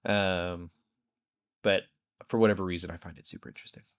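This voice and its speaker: Guy cohosting a podcast, lowish voice, animated rambly storytelling, super casual. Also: fairly quick, clear, resonant tone. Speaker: podcast host.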